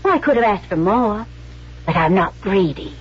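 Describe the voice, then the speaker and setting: A woman's voice: medium pitch, lively intonation, moderate pace, husky. An actress in a radio drama playing a spunky, shrewd young woman with a poised, probing, coolly teasing manner. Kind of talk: 1970s radio drama acting. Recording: dull vintage radio recording.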